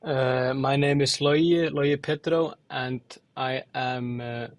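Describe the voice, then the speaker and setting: A young man from Iceland and Angola answering interview questions thoughtfully, with many fillers and pauses. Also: medium pitch, fairly even intonation, no echo, clean studio sound. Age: young adult. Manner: hesitant, many fillers and restarts. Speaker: podcast guest, musician and designer.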